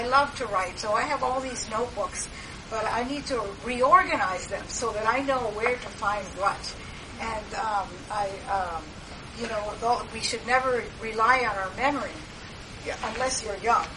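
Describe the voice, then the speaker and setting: A woman's voice: a little high, moderate pace. An older woman sharing at a church meeting, rambling with many fillers and restarts. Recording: distant mic, background noise.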